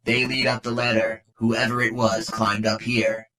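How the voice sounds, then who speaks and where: Man playing a monotone, deadpan robot. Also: on the low side, a little slow. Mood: dry, matter-of-fact. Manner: flat, formal, robotic delivery. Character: deadpan robot schoolmate, dryly boastful and precise. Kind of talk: scripted fan dub acting. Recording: music behind the voice.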